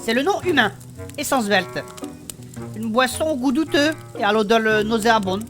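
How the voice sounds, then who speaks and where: A woman's voice: on the high side, expressive, normal speed, natural voice. A woman voicing a chatty, defensive young tavern worker in a fantasy comedy audio drama, familiar and slangy. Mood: chatty, recounting. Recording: faint music behind the voice.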